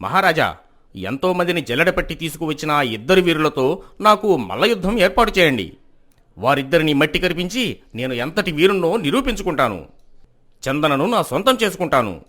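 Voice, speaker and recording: A brash young warrior played by a man declaims in a bright, forceful voice that swings widely in pitch. He is hot-headed and boastful, straight out of a storybook drama. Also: sing-song, a little fast. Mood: defiant, boastful. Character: boastful, hot-headed young suitor challenging rivals to wrestle. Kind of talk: dramatized moral story acting.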